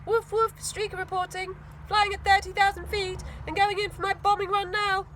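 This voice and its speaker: A woman's voice: extremely high pitch, level tone, moderate pace, a bit bright, slight background noise, pitched above the performer's own voice. A woman voicing a cocky, teasing young know-it-all girl in a storybook, with a sarcastic manner.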